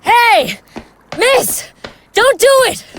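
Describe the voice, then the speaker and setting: A woman's voice: extremely high pitch, sing-song, extremely slow, a bit bright, a little hiss or hum, exaggerated voice. A woman acting an earnest, caring young investigator in an audio drama. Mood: alarmed.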